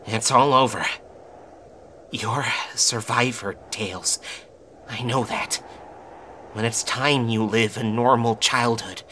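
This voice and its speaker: A man's voice: medium pitch, fairly even intonation, slow pace, pauses often, a little hiss or hum. A man playing a wounded, defiant hedgehog outlaw in an audio drama, with drawling, emphatic gunslinger talk. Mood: weary, tender. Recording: loud music laid under the voice. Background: loud dramatic music.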